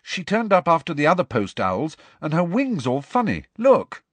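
Man acting an earnest, anxious schoolboy, raised pitch. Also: huge pitch swings, moderate pace, dry recording. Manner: hesitant but insistent.

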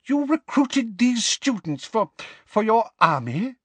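A man voicing a pompous, excitable middle-aged government minister from a storybook, blustering and spluttering with emphasis. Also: fairly high pitch, slow, no room sound, pitched above the performer's own voice, exaggerated voice. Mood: baffled, shocked.

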